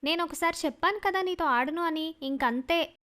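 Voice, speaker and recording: Woman acting vain little sister, high voice, sulky, scornful, curt, cartoon story. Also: moderate pace, a bit bright.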